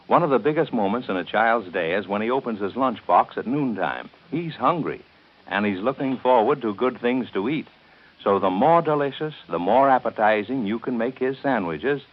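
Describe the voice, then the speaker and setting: Polished 1930s radio pitchman, muffled sound. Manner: persuasive, polished, emphatic. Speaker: commercial announcer. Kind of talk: scripted 1930s radio advertisement.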